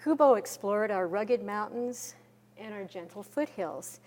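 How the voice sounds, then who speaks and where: A soft-spoken woman giving a measured conference presentation from a podium. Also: mid-range voice, animated. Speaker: conference speaker. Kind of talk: presentation talk.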